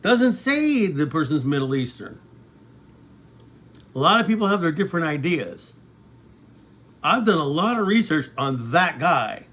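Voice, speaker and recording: Lively, rambling man in his sixties. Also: fairly high pitch, measured pace, long pauses. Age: 60s. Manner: conversational, repeats "okay?" to check in with viewers. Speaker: vlogger. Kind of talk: rambling casual monologue.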